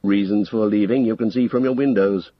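A man's voice: fairly low pitch, steady tone, a little fast, dry recording, highs cut off, close to an everyday voice. A man voicing a stern, weary old male wizard who speaks with commanding authority in an audiobook story. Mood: grave, firm.

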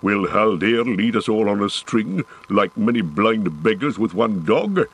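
A stubborn dwarf warrior speaks in a low, husky growl, as a male audiobook narrator puts him on. He is gruff and defiant, slow and weighty in his words. The storybook voice is deep and rough-edged. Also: lively intonation. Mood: gruffly joking. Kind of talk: audiobook character voice.

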